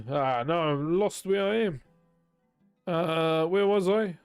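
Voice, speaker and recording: A man's voice: a little high, takes it slowly, smooth, soft background music. A man reading a novel aloud on a live stream, chatting casually with viewers between passages and swearing freely. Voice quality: naturally loud voice. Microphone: desk microphone.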